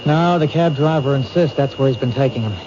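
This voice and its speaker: A man acting a determined, protective police detective in an old-time radio drama, with brisk, clipped, insistent delivery. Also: medium pitch, lightly acted. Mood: frustrated, wry. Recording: noisy, muffled old radio broadcast.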